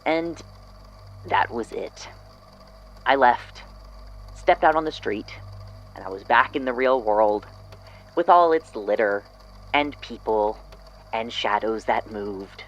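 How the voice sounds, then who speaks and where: A man's voice: medium pitch, animated, takes it slowly, pauses often, highs cut off, slight background noise. A man acting as an unsettled narrator in an audio drama, hesitantly and reflectively recounting an eerie memory. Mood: uneasy recollection. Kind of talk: audio drama statement, hesitant first-person storytelling.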